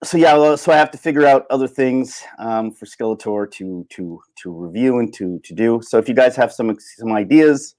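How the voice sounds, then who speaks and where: A man hosting a solo livestream in casual chat, rambling and halting as he thinks aloud. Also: medium pitch, animated, a little mellow. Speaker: livestream host.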